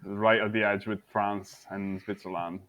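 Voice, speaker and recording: A man's voice: on the low side, level tone, a little slow, lo-fi sound. A man from Italy in a casual online chat, easygoing and brief. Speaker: guest.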